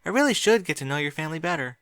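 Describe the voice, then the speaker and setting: A man's voice: fairly high pitch, animated, very fast, fairly bright tone, smooth, no room sound, studio quality, pitched above the performer's own voice. Man voicing a bookish young unicorn mare. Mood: friendly. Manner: polite, bookish. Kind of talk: audiobook reading of fan fiction.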